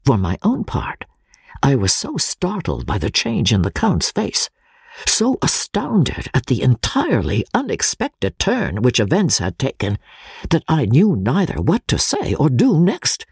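Casting: woman audiobook narrator, low-to-mid voice, husky and creaky, very animated, theatrical suspense. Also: measured pace, no room sound, studio quality. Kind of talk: dramatic scripted narration of classic fiction.

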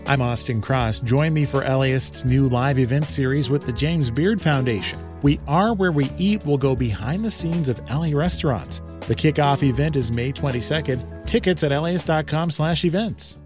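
A man's voice: medium pitch, moderate pace. Male promo announcer over music. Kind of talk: scripted promo read.

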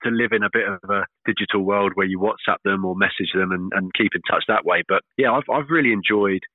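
Man, 30s, cricketer being interviewed, fairly monotone, hesitant, dry tinny phone sound. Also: low voice, quick pace, no echo. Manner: hesitant, lots of fillers and restarts. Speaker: professional cricketer. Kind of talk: casual interview chat.

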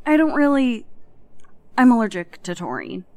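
A woman's voice: on the high side, big pitch swings, moderate pace, a little mellow, no echo. A woman playing an awkward, defensive tech administrator in an audio drama, speaking casually and hesitantly.

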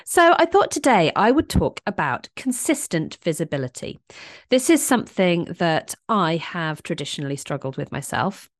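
A woman's voice: on the low side, sing-song, normal speed, dry recording, studio quality. Congested woman hosting a podcast. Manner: candid and reflective, with frequent restarts. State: congested, stuffy-nosed from a chest infection and hay fever. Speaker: podcast host. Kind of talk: casual solo podcast monologue.

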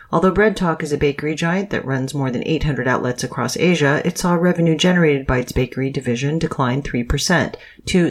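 A news reader narrating scripted business stories in a measured, read-aloud style. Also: mid-range voice.

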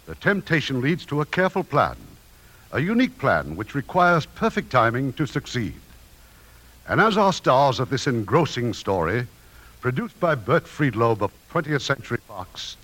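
A man's voice: medium pitch, fairly bright tone. A man hosting a 1950s radio theatre, giving a formal scripted introduction as producer. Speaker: radio show producer and host. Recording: 1950s radio broadcast recording.

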